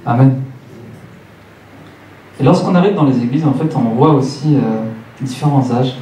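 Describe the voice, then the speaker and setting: A man's voice: medium pitch, measured pace, mellow. A man in his late thirties preaching a church sermon, speaking didactically and repeating phrases for emphasis. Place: church hall, preaching to a congregation. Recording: roomy church, distant mic, faint noise.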